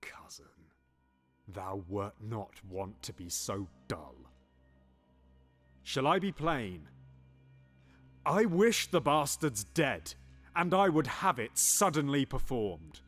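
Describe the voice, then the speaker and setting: A man's voice: medium pitch, big pitch swings, slow, long pauses, fairly bright tone, faint music behind, heavily acted. An actor playing a scheming, paranoid usurping king in a Shakespearean audio drama, swinging between menacing whispers and sudden outbursts.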